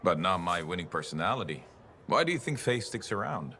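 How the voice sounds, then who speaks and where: Gruff older veteran, game role: extremely deep voice, roguish, weary, faint ambience behind. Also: male voice, sing-song, fairly quick, pushed and bright, slight background noise, full character voice. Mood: roguish banter. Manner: roguish, bantering, world-weary. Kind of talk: video game dialogue.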